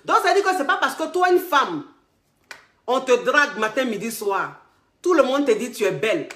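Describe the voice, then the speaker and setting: A woman's voice: on the high side, sing-song, normal speed, fairly bright tone, roomy sound. A woman with an Ivorian accent giving relationship advice straight to camera. She talks emphatically, repeats herself and calls viewers darlings, and she has a cold with a sore throat. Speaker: relationship coach. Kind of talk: direct-to-camera advice monologue.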